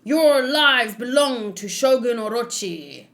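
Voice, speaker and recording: A woman voicing a harsh, bullying overseer of forced laborers from a manga, barking drawn-out, menacing orders. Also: a little high, lively intonation, a bit bright, heavily acted. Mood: commanding, threatening. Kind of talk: manga read aloud.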